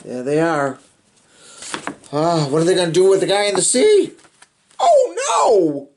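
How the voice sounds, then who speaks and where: Animated, slow-paced children's storybook reader. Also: mid-range voice, slight background noise. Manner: theatrical, playful, reacts to the story. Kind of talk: expressive read-aloud with chatty asides.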